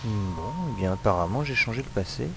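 A man's voice: fairly low pitch, a little fast, creaky, over loud music. A man with a cold giving rambling, hesitant commentary while playing a video game. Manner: rambling, hesitant, many fillers. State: has a cold. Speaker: let's play commentator. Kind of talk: casual gameplay commentary.

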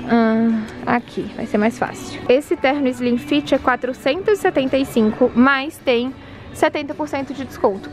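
A woman with a fairly high voice talks casually to her viewers while she films her way through a big department store. She reads out prices and discounts and adds quick opinions as she goes. Faint store music plays behind her. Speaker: shopping vlogger. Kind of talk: casual walk-through vlog. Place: large department store, indoors.

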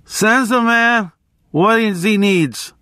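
A man's voice: high voice, big pitch swings, a little slow, pushed and bright, no echo, studio quality, voice pushed up, exaggerated voice. A man voicing a pompous, drunken magazine correspondent who declaims with grandiose authority. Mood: grandiose, sodden.

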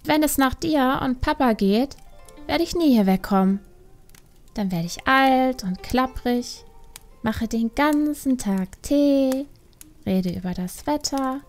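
A woman's voice: high voice, slow, a little mellow, faint music behind. A woman playing a restless, defiant young panda adventurer in a dramatised fantasy audiobook, emotional and reproachful. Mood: reproachful, angry.

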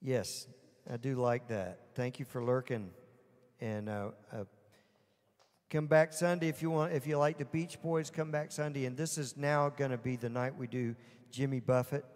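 A man's voice: mid-range voice, steady tone, normal speed, a little mellow. A middle-aged man with a Southern US accent, chatting casually between songs as host of his livestream. He rambles, chuckles and loses his train of thought. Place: small indoor stage with curtains. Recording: roomy reverb with guitar music behind. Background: live guitar and backing music.

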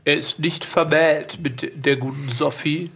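A man's voice: on the high side, steady tone, measured pace, vocal fry, a bit bright, slightly rough, soft background music, heavily acted. A man playing a foppish, wealthy lord in an improvised audio drama, pompous, formal and condescending. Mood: formal, conspiratorial. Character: smug, rich, foppish English lord.

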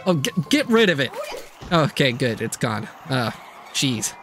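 A man's voice: medium pitch, very animated, measured pace, a bit bright, faint music behind. A man delivering a casual, comedic scripted video review, with jokey asides and playful exaggeration.

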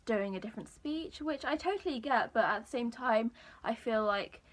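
A young woman vlogger with a high register and a croaky voice, chatting casually to camera in a small room, with lots of ums. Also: moderate pace. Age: young adult. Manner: chatty, many ums, relaxed storytelling.

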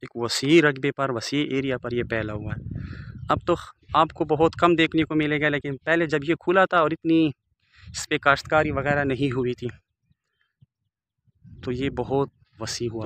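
Man in his forties, bright voice, dry close sound, outdoor phone vlog, formal devout phrasing. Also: a little high, dry recording. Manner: formal, devout phrasing with Arabic greetings and blessings. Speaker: village vlogger. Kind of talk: walking tour commentary. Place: outdoors in open riverbed countryside. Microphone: phone camera held by the speaker.

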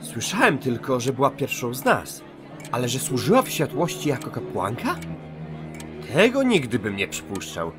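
A young man playing an earnest, excitable young blood elf paladin in a fantasy audio drama. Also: medium pitch, normal speed. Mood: musing. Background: music behind the voice.

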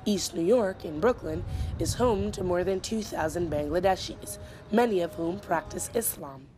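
A woman reporter reading scripted news narration in a measured, even way. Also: fairly low pitch.

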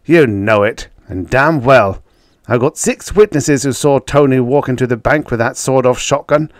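A man voicing a heavy old district attorney in an audiobook story, blunt and gruff, wheezy and short of breath. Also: medium pitch, moderate pace, dry recording, clean studio sound, voice pushed up, lightly acted. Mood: gruff, exasperated. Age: older. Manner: blunt, exasperated, forceful.

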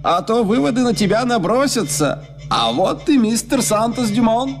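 Posh composed gentleman in a cartoon, very up and down intonation, music blaring. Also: very high voice, big pitch swings, moderate pace, heavily acted. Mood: calm, pleased. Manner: courteous, composed, refined. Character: unflappable tall English gentleman adventurer. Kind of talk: dubbed cartoon acting. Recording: old cartoon dub with loud music.

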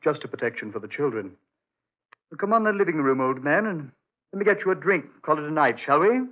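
A polite doctor with a secret, genial on the surface and evasive underneath. His voice is a bit high, in forties radio drama style. The recording is narrow and tinny. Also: animated, fairly quick. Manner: genial but guarded, courteous. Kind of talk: 1940s radio horror acting. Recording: thin, phone-like old radio recording.

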